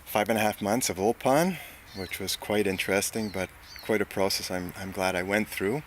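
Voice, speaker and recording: A middle-aged man from Canada answering interview questions in a relaxed, unhurried way, with pauses and fillers, recorded outdoors in a garden. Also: on the low side, a little hiss or hum. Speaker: interviewee, bed and breakfast owner.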